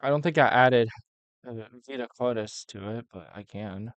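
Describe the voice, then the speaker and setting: Clear-voiced man streaming casually. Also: mid-range voice, normal speed, no echo, muffled recording. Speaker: livestream host reacting to videos. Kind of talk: casual chat over a reaction stream.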